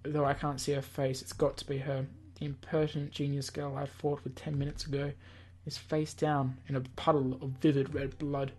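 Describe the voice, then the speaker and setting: A man's voice: medium pitch, little pitch movement, normal speed, few pauses, darkish tone, slightly rough. A man reading a visual novel aloud in a theatrical, slightly stumbling way, as host of a gaming video. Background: game music.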